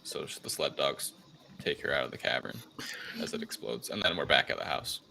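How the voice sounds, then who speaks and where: A man's voice: rumbling low, animated, a little fast, vocal fry, bright, forceful tone, a little hiss or hum. A man co-hosting a podcast, recapping a movie in casual chat. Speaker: podcast co-host.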